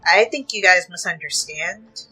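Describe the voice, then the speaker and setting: A woman's voice: on the low side, a little slow, sharp tone, faint music behind, low-quality recording. A woman hosting a reaction video, commenting casually in hesitant, piecemeal phrases.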